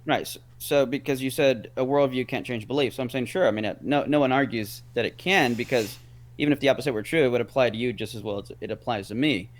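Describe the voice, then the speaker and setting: A man's voice: medium pitch, fast talker. Man arguing in a rambling way. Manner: rambling, repeats words, argues step by step. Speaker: guest. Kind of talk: casual debate chat.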